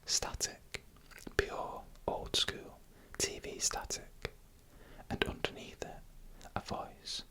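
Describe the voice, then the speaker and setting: A storyteller who never rises above a whisper, with a bright, crisp edge to the breath. The delivery is soft and unhurried ASMR narration, spoken close to the microphone for headphone listening. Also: poor audio. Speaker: narrator of scary UFO encounter stories.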